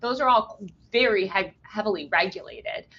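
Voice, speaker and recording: A woman's voice: medium pitch, normal speed, pushed and bright, muffled recording. A woman journalist being interviewed remotely, talking loosely with many ums and restarts while giving blunt opinions. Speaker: journalist guest.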